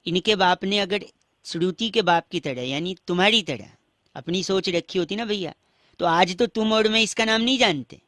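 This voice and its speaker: A cheeky young prank caller, played by a man, ribs strangers with puns and mock seriousness. The voice sits at an ordinary pitch and sounds dry and close to the mic. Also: moderate pace, fairly bright tone, no echo. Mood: needling. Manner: teasing, playful, wordplay jokes. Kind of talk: radio prank call.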